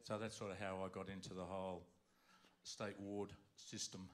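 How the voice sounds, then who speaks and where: A man with a fairly low voice tells his story at a church service. He talks plainly and matter-of-factly, stumbling into a restart now and then. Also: little pitch movement, moderate pace. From Wales. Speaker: church interview guest. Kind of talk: personal testimony.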